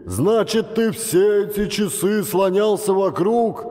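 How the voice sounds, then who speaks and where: A man voicing a furious, injured explorer father who curses and exclaims loudly in an audiobook. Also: sits high, takes it slowly, long reverb tail, pitched above the performer's own voice. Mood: furious, indignant. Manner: loud, indignant, exclaiming.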